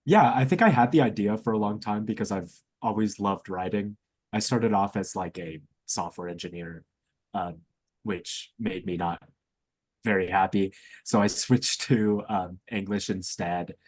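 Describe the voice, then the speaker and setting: A man's voice: fairly low pitch, a little slow, darkish tone, no echo. A young man, a student co-hosting a podcast, chatting informally with hesitant phrasing. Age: young adult. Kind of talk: casual chat.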